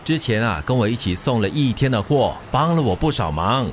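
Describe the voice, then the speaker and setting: A man's voice: medium pitch, lively intonation, fairly quick, slight background noise, lightly acted. A man playing a kindly, world-weary older delivery driver in a radio drama, with a Singaporean accent and a chatty, sighing, avuncular manner. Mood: good-natured. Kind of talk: Mandarin radio drama acting.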